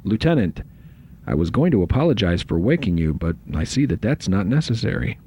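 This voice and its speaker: An actor playing a busy, wry starship first officer in a science fiction audio drama, dry and authoritative. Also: male voice, fairly low pitch, sing-song, fairly quick, warm tone. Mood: wry, official. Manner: dry, sardonic, muttering to himself. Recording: dull lo-fi sound with faint noise.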